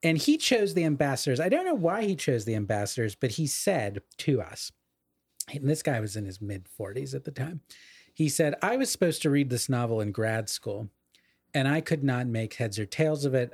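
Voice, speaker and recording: A man's voice: medium pitch, darkish tone, dry recording. A middle-aged man co-hosting a podcast, casually discussing literature in an erudite, digressive way full of asides.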